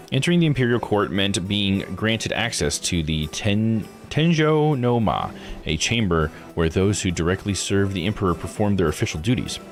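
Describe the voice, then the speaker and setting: A tired male game streamer with a lowish voice and lively intonation reads on-screen text over soft music. He drops in casual asides and corrects himself on difficult names. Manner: stumbles over foreign names and self-corrects. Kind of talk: live playthrough, reading game text aloud with casual asides.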